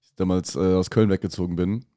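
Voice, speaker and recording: A man's voice: low-pitched, fairly even intonation, quick pace, warm tone. A man telling things casually as a podcast co-host. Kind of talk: casual storytelling chat.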